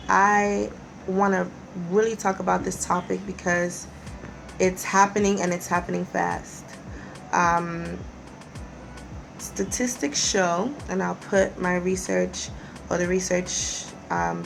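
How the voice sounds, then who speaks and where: A young woman from the United States talks loosely to camera, pausing on fillers and restarting her sentences as she checks her notes. Her voice sits on the low side and is heavily gravelly. A steady hiss of noise runs under the recording. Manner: conversational, many fillers and restarts, reading from notes. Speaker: real estate company presenter. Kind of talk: casual talk to camera. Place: in Nigeria.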